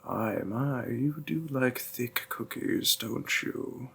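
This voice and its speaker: Demon boyfriend for intimate audio roleplay, played by a man: tender, courtly and teasing, very unhurried, with a husky breathy edge. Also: mid-range voice, expressive, full character voice. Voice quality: breathy, gravelly, half-whispered. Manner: formal courtly phrasing, softly coaxing. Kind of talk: roleplay audio, intimate second-person acting.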